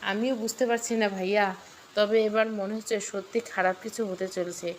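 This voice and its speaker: An easily startled younger sister from a storybook, voiced by a woman. She is questioning and alarmed, at a middle pitch. Some noise is in the recording. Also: steady tone, moderate pace, close to an everyday voice. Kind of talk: storybook dialogue.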